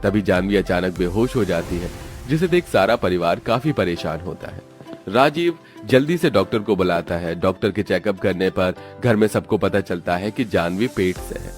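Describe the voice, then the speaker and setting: A man's voice: deep voice, animated, moderate pace. A man narrating a scripted story as a storyteller. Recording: music under the voice. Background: background music.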